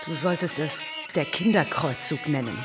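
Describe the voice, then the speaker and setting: A woman's voice: low voice, normal speed, natural voice. A woman playing an indignant, protective mother and veteran's wife in a radio drama, speaking in an accusing, bitter way. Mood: curt. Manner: accusing, forceful phrasing, bitter. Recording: loud music behind the voice.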